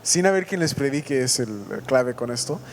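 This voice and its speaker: American man hosting in Spanish, halting. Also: mid-range voice, animated, a little hiss or hum. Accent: American English. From United States. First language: English. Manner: halting, self-correcting, joking about his Spanish. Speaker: podcast host. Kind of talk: casual church podcast chat.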